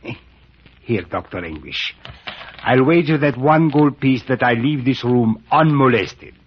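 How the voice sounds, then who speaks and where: A man's voice: mid-range voice, lively intonation, unhurried, slight background noise, natural voice. An actor playing a sinister, silky, mockingly courteous cult priest in an old-time radio drama, drawing out his words with theatrical relish. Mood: amused, taunting. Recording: muffled vintage radio recording.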